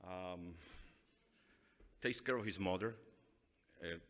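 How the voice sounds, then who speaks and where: A deep-voiced man speaks off the cuff at a dinner gala, earnest and forceful, restarting and repeating himself. His voice booms through a PA into a banquet hall with a long, trailing reverb. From Dominican Republic. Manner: earnest, halting, repeats phrases. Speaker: award honoree giving a speech. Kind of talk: unscripted speech at a benefit dinner.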